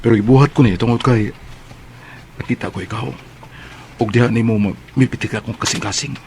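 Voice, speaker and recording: A man's voice: medium pitch, fairly quick, a little mellow, slight background noise, close to an everyday voice. An actor playing a young man in a radio drama who stammers and hesitates while asking a woman out. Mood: nervous, earnest. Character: young man, ex-boyfriend, awkward suitor.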